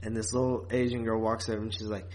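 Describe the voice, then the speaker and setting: A man's voice: lowish voice, flat delivery, fast talker, a little mellow. Casual man podcasting. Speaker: podcast co-host. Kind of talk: casual chat reviewing a TV series.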